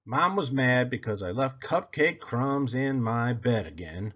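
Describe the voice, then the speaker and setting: Very animated man reading a children's storybook. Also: mid-range voice. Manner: theatrical, expressive delivery with drawn-out words. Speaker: storybook reader. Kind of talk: animated children's read-aloud. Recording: slightly dull, lo-fi audio.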